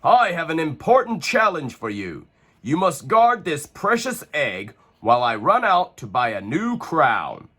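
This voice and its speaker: A man's voice: fairly high pitch, wildly swooping pitch, a little slow, a bit bright, slightly rough, voice pushed up, full character voice. A man voicing a cheerful, bossy young penguin king in a storybook, making grand, playful royal proclamations. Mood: regal.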